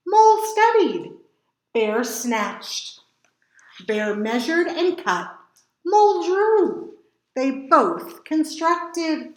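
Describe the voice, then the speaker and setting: A woman storytime reader with a high, very animated voice. She reads picture books to children slowly, drawing out words for drama. The recording has an echoey room sound. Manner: dramatic emphasis with drawn-out words. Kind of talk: expressive picture book read-aloud for children.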